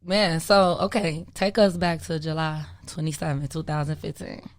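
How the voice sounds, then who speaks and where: Female radio interviewer. Lowish pitch, dry sound, casual slangy conversational delivery. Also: flat delivery, normal speed, dry recording.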